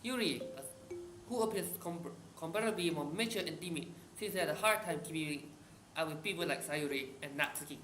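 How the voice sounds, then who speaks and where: A man's voice: fairly high pitch, a little fast, a bit bright. A man from Malaysia streaming a visual novel, reading its text aloud and chatting in casual asides. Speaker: game streamer. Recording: roomy with music behind.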